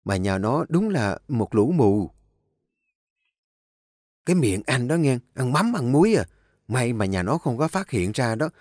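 A man's voice: medium pitch, sing-song, unhurried, long pauses, a little mellow, no room sound, clean studio sound. A man voicing a desperate young village woman who pleads and wails for mercy. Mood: sly. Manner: wailing, pleading.

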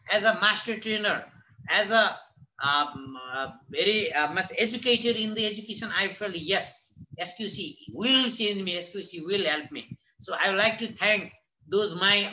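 A Nepali-accented man with a high, very bright and forceful voice moderates an online student panel. He speaks haltingly and often repeats phrases for emphasis. The video-call audio carries a light, audible noise. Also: poor audio. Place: indoors, plain-walled room. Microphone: computer mic on a video call.

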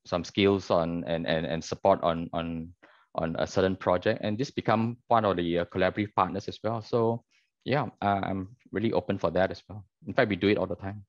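A middle-aged man gives informal advice in a deep, low voice. The video call sound is dull but dry, with little room in it. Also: dry recording. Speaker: architect, panel speaker. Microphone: video call microphone.

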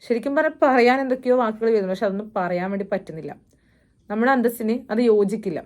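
Fast-talking woman, disapproving commentator. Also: a little high, a little mellow. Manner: disapproving, sarcastic. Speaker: reaction video host. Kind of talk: opinion commentary on a viral video.

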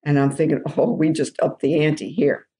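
Lively woman telling stories, low-pitched. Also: moderate pace. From New Jersey. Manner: acts out other people's voices with big jumps in pitch. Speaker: podcast guest, drum therapist. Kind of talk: animated storytelling in conversation.

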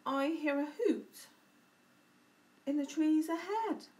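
A woman's voice: high-pitched, slow pace, mellow, distant mic, voice pushed up. A woman voicing a big, hungry, gullible monster in a children's storybook, emphatic in delivery. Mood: curious.

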